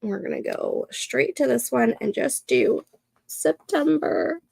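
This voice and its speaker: A congested woman in her thirties chats unhurriedly while she works on her planner. Her voice has a touch of fry and sounds a little nasal, close and dry on the mic. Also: high-pitched, wildly swooping pitch, a little mellow, no room sound. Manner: slow, chatty, talks to herself while setting up a planner. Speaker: co-working livestream host. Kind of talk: casual chat while working.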